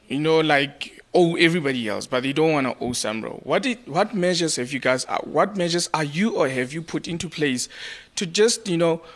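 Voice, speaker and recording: A man with a South African accent asking an informal question from the audience, searching for his words. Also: fairly high pitch.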